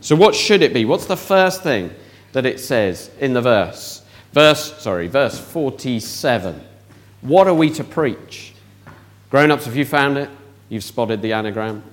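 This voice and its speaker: A man leading an interactive children's talk in church, asking the audience questions. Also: mid-range voice.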